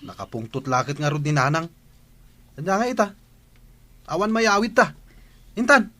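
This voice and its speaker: A man's voice: a little high, big pitch swings, moderate pace, pushed and bright, heavily acted. A man with a Filipino Ilocano accent acting as a practical, exasperated husband who chides his wife bluntly in a radio drama.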